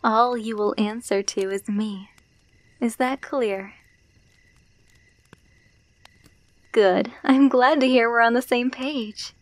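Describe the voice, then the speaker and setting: A woman's voice: on the high side, fairly even intonation, a little slow, no room sound, close to an everyday voice. A woman playing a menacing, possessive female alpha werewolf, teasing and domineering in an ASMR roleplay, dropping into whispers. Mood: smug dominance.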